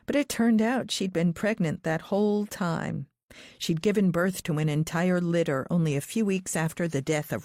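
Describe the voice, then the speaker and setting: A woman narrates a thriller audiobook in the first person. Her voice sits low, and the sound is dry with no room around it. The delivery is scripted storytelling. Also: darkish tone, no room sound.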